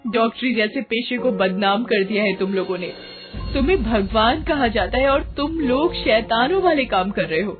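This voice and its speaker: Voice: mid-range voice, lively intonation, normal speed, close to an everyday voice. A storyteller voicing a poor, desperate village mother who pleads and protests insistently in a horror story. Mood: outraged. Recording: tinny phone-like sound with music behind.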